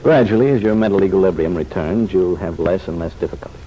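Actor as kindly male psychiatrist, vintage radio soap, deep voice, reassuring and formal, faint recording noise. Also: lo-fi sound, natural voice. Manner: soothing, formal, measured phrasing. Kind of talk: old-time radio soap opera acting.

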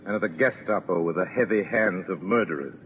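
A grave, ominous male narrator, played by a man in a 1940s radio play. His voice is very low and solemn, addressing a doomed prisoner with dramatic rhetoric. It comes through a thin, tinny old broadcast with some noise. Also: fairly quick, natural voice. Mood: grim, urgent.

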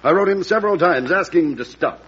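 A husky, proud, defiant politician. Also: male voice, high-pitched, lively intonation, fast, fairly bright tone, exaggerated voice. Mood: defensive. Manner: formal, intense, self-justifying. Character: proud, bitter, defiant disgraced male politician. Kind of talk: old-time American radio drama acting. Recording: dull vintage radio recording.

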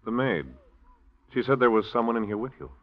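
A man's voice: medium pitch, animated, fairly quick, natural voice. An actor playing a suspicious husband questioning his wife, cool and probing, in 1940s radio drama style. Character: suspicious husband coming home early. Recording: dull old radio recording.

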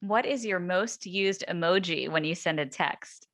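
A woman's voice: on the low side, measured pace, fairly bright tone. A woman hosting a casual interview, putting questions and responding conversationally. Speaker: interview host. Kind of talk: casual rapid-fire interview.

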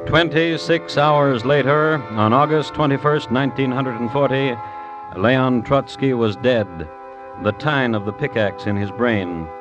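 An actor playing a wry, sardonic host and narrator of crime stories, deliberate and deadpan in wording, in 1950s radio style. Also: male voice, mid-range voice, music in the background, close to an everyday voice. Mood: wry, matter-of-fact. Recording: dull vintage radio recording.

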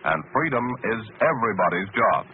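A man's voice: fairly low pitch, sing-song, a little fast. A man reading scripted announcements in a 1940s radio style, earnest and emphatic. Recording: tinny, noisy old radio recording.

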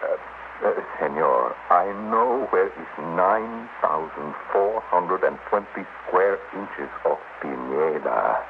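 An actor playing a fawning, wily middle-aged Mexican mayor with a Spanish accent, hesitant and ceremoniously polite, in classic radio drama style. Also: male voice, low voice, animated, slow pace, music in the background, heavily acted. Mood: sly, excited. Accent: Spanish-accented English. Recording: noisy old dull radio recording.

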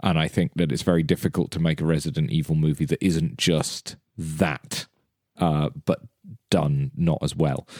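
A man with a low voice talks casually as a podcast panellist. He explains his points in a halting, deliberate way, and the recording is dry and close. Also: darkish tone, no echo.

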